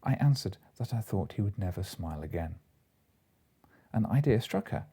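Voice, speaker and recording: Guy reading an old comic novel, really mellow dark voice, bit gravelly. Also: on the low side, expressive, normal speed. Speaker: audiobook narrator. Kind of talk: scripted narration of classic comic fiction.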